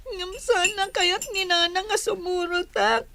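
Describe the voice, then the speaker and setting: A woman's voice: very high voice, fairly even intonation, a little slow, noisy background. A woman playing a plaintive, hurt young daughter who pleads in a radio drama. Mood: tearful pleading. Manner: plaintive, whining.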